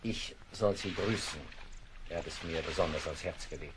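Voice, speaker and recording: Man playing a deep, solemn seafaring companion. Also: noisy background, lightly acted. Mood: grave mourning. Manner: solemn, measured. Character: grave, kindly naval companion bearing sad news. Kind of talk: audio drama acting.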